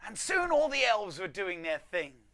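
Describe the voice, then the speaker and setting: A performer reading a rhyming storybook aloud to children at home, with theatrical pauses and emphasis. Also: medium pitch, big pitch swings, sharp tone.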